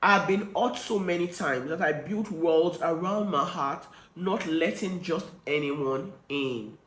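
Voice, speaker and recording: A man with a croaky cold voice reading the letter of a hurt, guarded woman in her late forties. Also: lowish voice, slow pace, roomy sound. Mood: confessional. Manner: earnest, confessional reading.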